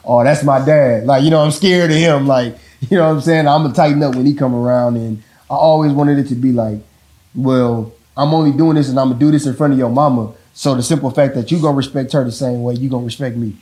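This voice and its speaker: A man with a deep voice talking casually about parenting, rambling and often checking in with the listener. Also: fast talker. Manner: relaxed, rambling, repeats "you know what I'm saying". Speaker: father in a couple interview.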